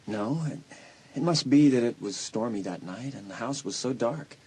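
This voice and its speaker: An actor playing a concerned, reassuring school counselor in an audio drama, speaking earnestly and soothingly with some hesitation. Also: male voice, mid-range voice, animated, normal speed, mellow, slightly rough, rough recording.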